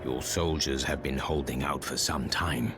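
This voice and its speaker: A man's voice: extremely low pitch, fairly even intonation, measured pace, loud music behind the voice. A man playing a grave, commanding warrior hero in a video game, speaking tersely and formally. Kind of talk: video game cutscene acting.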